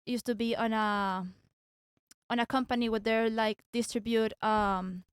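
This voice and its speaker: Young woman from Venezuela, English, hesitant with restarts, higher voice, smooth clear tone, dry close sound. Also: steady tone, unhurried, no echo. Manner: hesitant, searching for words, many restarts. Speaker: guest. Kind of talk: casual debate talk.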